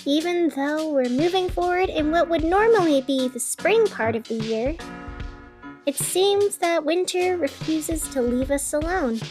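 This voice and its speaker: A woman playing a chatty, weary radio host of a gloomy fictional city, narrating in a conversational, dramatic, wry way. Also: sits high. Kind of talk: scripted fiction podcast narration as a radio broadcast.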